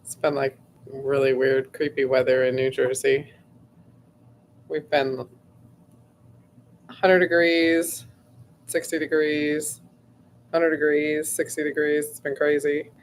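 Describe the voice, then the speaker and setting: Hoarse woman chatting slowly on a livestream. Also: low voice, little pitch movement, slight background noise. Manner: chatty, rambling with frequent "like" and "um", clears her throat often. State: losing her voice, hoarse. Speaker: host. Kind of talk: casual livestream chat.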